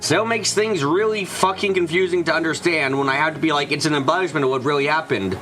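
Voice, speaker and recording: A man's voice: fairly high pitch, quick pace, over music. A man giving casual gaming commentary as a streamer, with a blunt, sweary way of talking. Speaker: let's play streamer.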